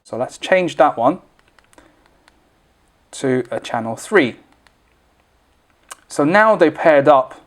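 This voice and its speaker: A man's voice: a little high, expressive, takes it slowly, long pauses. A man presenting an informal, unscripted product tutorial, explaining things step by step as he demonstrates.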